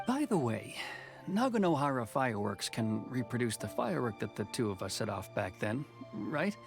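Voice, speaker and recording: Gruff tired officer, clipped stern lines, game audio w/ faint music. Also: male voice, mid-range voice, very animated, moderate pace. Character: stern male commission officer, weary. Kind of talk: game voice acting.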